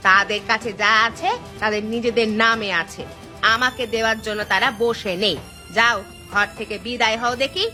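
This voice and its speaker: A woman acting a bright-voiced, bossy village wife. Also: a little high, steady tone, normal speed, soft background music. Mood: scolding. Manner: scolding, challenging. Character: sharp-tongued, bossy village wife, boastful and quarrelsome. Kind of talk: Bengali cartoon dialogue dubbing.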